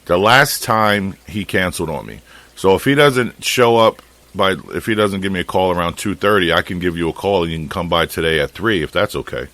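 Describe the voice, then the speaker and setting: A man's voice: low voice, lively intonation, brisk, fairly bright tone, dry recording. An American man talking casually on a phone call, explaining things in an informal, rambling way. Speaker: fish shop owner and podcast host.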